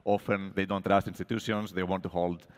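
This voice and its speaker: A man from Greece talks as a panelist in a panel discussion, his voice sitting very low. His explanations run long and are dotted with "right?", and a long hall reverb trails each phrase. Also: flat delivery, a little fast. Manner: explanatory, often ends points with "right?".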